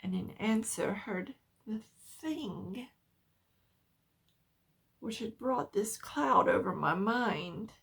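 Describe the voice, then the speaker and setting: A woman narrating a horror story as a dramatic reading, theatrical, with halting pauses for effect. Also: medium pitch, lively intonation, creaky, fairly bright tone.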